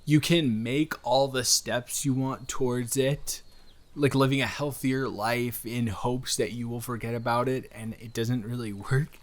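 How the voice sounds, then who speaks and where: A man acts out a wholesome, flirty male stranger in an intimate audio roleplay. He speaks at a medium pitch, straight to the listener. His delivery is rambling and hesitant, earnest and reassuring, with a gently teasing streak. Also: fairly even intonation, a little slow, close to an everyday voice.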